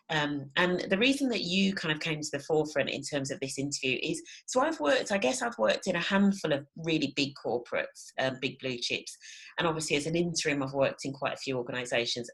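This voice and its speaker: Deep-voiced woman host, enthusiastic and casual, lots of ums and "you know". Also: husky. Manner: warm, enthusiastic, filler-heavy. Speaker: interview host. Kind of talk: casual interview conversation.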